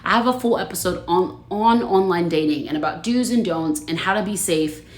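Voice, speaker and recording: Woman host, casual livestream chat, a bit low-pitched, roomy echo; chatty, laughs a lot. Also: normal speed. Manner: chatty, laughs often, reads viewer comments aloud.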